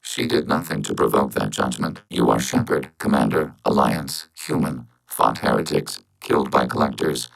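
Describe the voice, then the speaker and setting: A science fiction game robot with an extremely low, gravelly voice. It speaks in formal, measured phrases, calm and emotionless, through synthetic robotic processing. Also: male voice, full character voice, low-quality recording. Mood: detached, analytical. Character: calm logical robot of a networked machine collective. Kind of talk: scripted science fiction video game dialogue.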